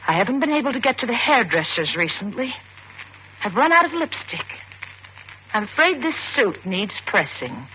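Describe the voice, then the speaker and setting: An actress playing an embittered young murder suspect with intense, theatrical delivery in an old-time radio suspense drama. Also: female voice, on the high side, very animated, moderate pace. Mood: bitter, searching. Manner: intense, theatrical, rhetorical questioning. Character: young, beautiful, embittered wife accused of killing her husband. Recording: muffled, noisy vintage radio recording.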